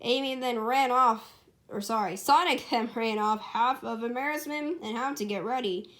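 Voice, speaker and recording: A woman's voice: on the high side, normal speed, fairly bright tone. A woman reading her own story aloud as an amateur storybook narrator, stumbling and correcting herself.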